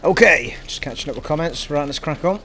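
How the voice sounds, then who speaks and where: Chatty Scottish-born streamer, English accent. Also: male voice, a little high, animated, brisk, creaky, faint music behind. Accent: generic English. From Scotland. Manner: chatty, self-deprecating, thinks out loud. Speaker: game streamer. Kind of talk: live gameplay commentary. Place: home streaming room.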